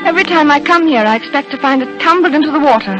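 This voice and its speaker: An actress playing a demure, hesitant young small-town sweetheart in a melodramatic 1930s radio drama. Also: female voice, sits high, racing, natural voice. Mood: wistful. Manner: coy, proper, melodramatic. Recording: dull vintage 1930s radio broadcast with music.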